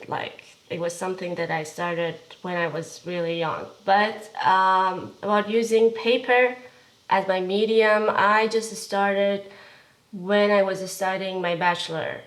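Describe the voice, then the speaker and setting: A woman's voice: medium pitch, fairly even intonation, a little slow, rough recording. A young woman from the Kurdistan region of Iran, speaking as a visual artist being interviewed in an art studio, hesitant and searching for words with many fillers. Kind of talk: casual interview.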